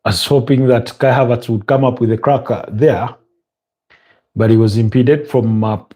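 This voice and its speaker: A man's voice: medium pitch, normal speed. A man from Uganda with a Ugandan English accent, chatting casually and commenting on a match live as host, with frequent ums and uhs. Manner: relaxed, conversational, many ums and uhs. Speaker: watch-along host.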